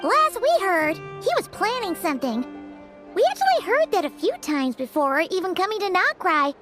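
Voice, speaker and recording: A woman voicing a perky, inquisitive little childlike companion in a video game, squeaky and excitable. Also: moderate pace, over music. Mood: earnest.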